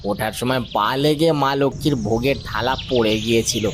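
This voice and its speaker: A man's voice: medium pitch, brisk, slight background noise, lightly acted. A man voicing a gullible, devout village husband in a cartoon moral story, earnest and deferential. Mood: worried, humble.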